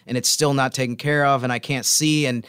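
A man's voice: mid-range voice, steady tone, brisk, a bit bright, clear, resonant tone. A man co-hosting a sports podcast in casual conversation, talking as a sportswriter.

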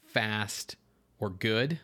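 A man co-hosting a film podcast, explaining things in a measured way as he moves between prepared notes and discussion. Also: on the low side, pushed and bright. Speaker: podcast co-host.

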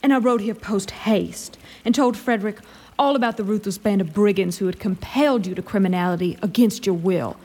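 A woman's voice: mid-range voice, fairly quick, a little mellow, husky, lightly acted. A woman playing an elf lord commander in an audio drama, formal and falsely sweet, with sly menace under mock kindness. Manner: mock-kindly, formal, sly, drawling emphasis.

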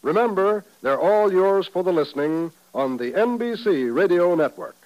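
Higher-pitched 1950s American radio announcer. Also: male voice, lively intonation, normal speed, dull audio. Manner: polished, upbeat salesmanship. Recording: vintage 1950s radio broadcast.

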